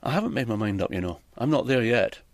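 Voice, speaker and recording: A man's voice: mid-range voice, lively intonation, quick pace, no room sound, studio quality. A man, a journalist, answering questions in an interview. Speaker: broadcast journalist being interviewed.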